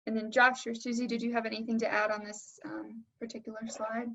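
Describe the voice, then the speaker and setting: A woman's voice: a little high, flat delivery, a little fast, gravelly, sharp tone, lo-fi sound. A woman casually moderating a panel, handing over to the other speakers.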